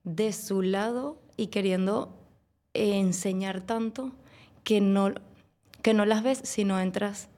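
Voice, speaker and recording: Earnest Venezuelan woman, dark flat voice. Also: medium pitch, slow pace. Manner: earnest, reflective, hesitant. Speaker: guest. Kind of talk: personal faith testimony.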